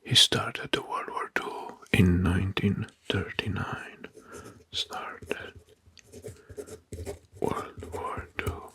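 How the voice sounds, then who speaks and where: A man whispering an ASMR history narration into a close microphone, hushed and deliberate, softly repeating key phrases. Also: low-pitched, level tone. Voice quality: breathy, mostly unvoiced whisper. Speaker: ASMR host.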